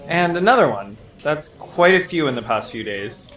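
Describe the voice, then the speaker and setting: An actress playing a sardonic, gossipy police desk officer in an audio drama, with a dry, teasing manner. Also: female voice, low voice, lively intonation, normal speed, fairly bright tone. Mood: curious. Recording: roomy echo.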